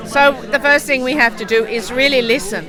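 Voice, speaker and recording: A woman's voice: high-pitched, fairly even intonation, fairly quick. Australian woman teacher over crowd chatter. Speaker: university language teacher. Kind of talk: casual interview chat.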